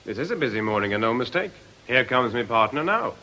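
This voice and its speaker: Bright, lively, glib nightclub owner. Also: male voice, medium pitch, fast talker, slight background noise. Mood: breezy, needled. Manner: sardonic, breezy, evasive. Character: glib, cagey nightclub owner, a worldly man. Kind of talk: radio drama acting.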